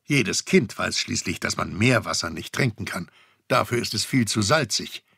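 A male narrator of a children's radio play with a low, bright voice. He reads the scripted story with a gravelly edge. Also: lively intonation, normal speed, dry recording, studio quality.

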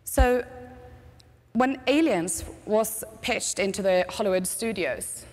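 A woman with a Norwegian accent softened by years in Britain delivers a keynote in a chatty, self-deprecating way. Her headset voice carries a long reverb from the big conference hall. Her pitch sits mid-range for a woman. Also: slow, a little hiss or hum. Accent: Norwegian with British English mix. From Norway. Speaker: conference speaker, agency CEO. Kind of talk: keynote presentation. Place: large conference stage.

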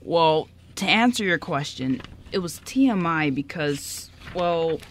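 A woman's voice: deep voice, animated, slight background noise, exaggerated voice. A woman playing a nervous, easily embarrassed young male actor with a hesitant manner, in an amateur radio play. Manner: hesitant, halting.

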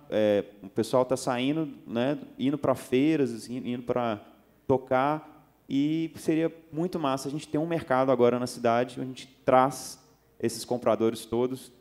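A man's voice: medium pitch, a little mellow. A young man with a Minas Gerais accent, speaking casually on a conference panel, loose and full of fillers, into a handheld mic on a theater stage. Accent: Minas Gerais Portuguese. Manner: loose, gesturing, many fillers. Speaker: panel speaker, festival organizer.

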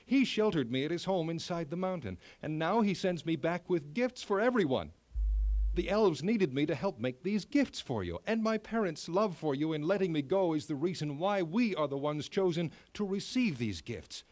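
A man's voice: on the high side, lively intonation, moderate pace, darkish tone, natural voice. A man voicing a brave, happy young prince in a storybook, making earnest announcements. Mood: happy, proud. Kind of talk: storybook character acting.

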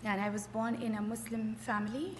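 A middle-aged woman from Afghanistan shares her testimony in a fairly high voice. She speaks in short, hesitant phrases with frequent pauses. The sound is very echoey, as in a hall, with slight noise. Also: fairly even intonation. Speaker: church member giving testimony.

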